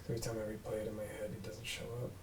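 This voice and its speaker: A man playing a guarded, gruff human police detective in a drama read-through, blunt and casual in his speech. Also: on the low side, monotone, very fast, distant mic, lightly acted. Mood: subdued, bitter. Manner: blunt, casual, clipped swearing. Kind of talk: table read of a drama script. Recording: roomy echo, off mic, faint noise.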